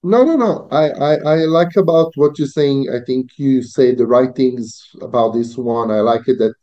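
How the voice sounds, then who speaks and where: Man, client on a call, hesitant, stumbling, warm tone, lo-fi sound. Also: medium pitch, moderate pace. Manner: hesitant, repeats words, stumbling phrasing. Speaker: client interviewing freelancers. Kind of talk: business call. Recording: muffled call audio.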